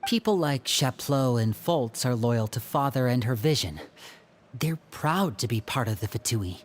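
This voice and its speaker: An actor playing a composed, analytical young male agent of a secret order, explaining things in measured game dialogue. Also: medium pitch, animated. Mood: composed, explanatory.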